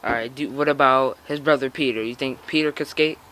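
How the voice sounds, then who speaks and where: A man casually interviewing someone from behind a handheld camera on a porch, hesitant and filling pauses with "um" and "all right". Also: medium pitch, steady tone, sharp tone, slight background noise, rough recording. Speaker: interviewer filming a young skater. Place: outdoors on a house porch.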